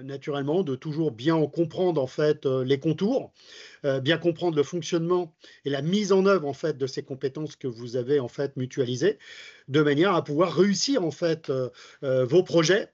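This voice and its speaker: Halting man with a fairly high voice. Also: a little mellow, highs cut off. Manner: halting, with very frequent hesitations and filler phrases. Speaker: presenter of advice capsules for local election candidates. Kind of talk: semi-improvised explanatory talk to camera.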